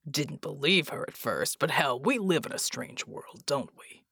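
A woman voicing a world-weary male mercenary who talks bluntly in a low, gravelly drawl. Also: lively intonation, unhurried, fairly bright tone, dry recording, studio quality, exaggerated voice. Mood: gruff.

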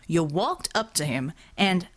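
A woman's voice: on the low side, very animated, measured pace. A woman voicing a stern, exasperated male superhero leader in an audiobook, with a formal, reproving manner. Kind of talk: audiobook character dialogue.

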